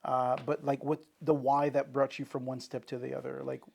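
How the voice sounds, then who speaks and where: Low gravelly woman interviewer. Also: level tone, moderate pace, darkish tone. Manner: playful, teasing, eager backchannels. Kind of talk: casual conversational interview.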